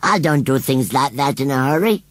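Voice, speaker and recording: A man's voice: medium pitch, lively intonation, moderate pace, a bit bright, no echo, pitched above the performer's own voice, close to an everyday voice. A man voicing a scruffy, stubborn and touchy storybook scarecrow with a rural English accent and emphatic dialect speech. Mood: cheeky, indignant. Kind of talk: children's storybook character voice.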